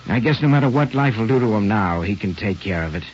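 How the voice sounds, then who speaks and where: A male actor playing an awkward, tender middle-aged American father in a halting 1940s radio drama style. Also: on the low side, expressive, a little hiss or hum, lightly acted. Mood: tender, reflective. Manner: halting, hemming and throat-clearing. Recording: muffled vintage radio with music behind.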